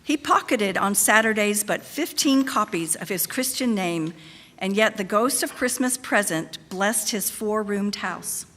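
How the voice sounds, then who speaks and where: A woman's voice: medium pitch, lively intonation, unhurried, fairly bright tone. A woman narrating a Victorian tale as a live dramatic reading. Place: live in a church. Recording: reverberant hall.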